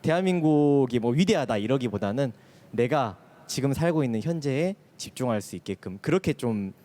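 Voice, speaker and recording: A male teacher speaks at a live talk concert in a Gwangju regional accent. His pitch sits in the middle, and he talks in an earnest, measured way. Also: animated, mellow. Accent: Gwangju regional dialect. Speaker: schoolteacher guest. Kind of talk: stage talk. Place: stage before a live audience.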